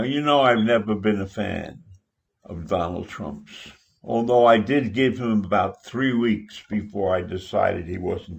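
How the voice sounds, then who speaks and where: An older man with an American accent giving a political opinion monologue, deliberate and emphatic, with dramatic pauses. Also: lowish voice, vocal fry. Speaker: political commentator.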